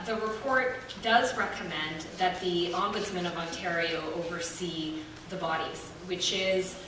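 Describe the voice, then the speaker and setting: Woman answering from a far, echoey hall. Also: on the low side. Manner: measured and careful, with frequent pauses. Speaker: member of a review team answering public questions. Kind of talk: formal answers at a public meeting. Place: large echoey meeting room. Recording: echoey hall audio from afar with noise.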